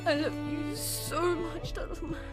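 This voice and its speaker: Woman acting a high-voiced, tearful grieving sister. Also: steady tone, over loud music. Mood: anguished, guilty. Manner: tearful, slow, halting. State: crying. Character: grieving young sister, tearful, singing a lullaby to her brother. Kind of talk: audio drama acting.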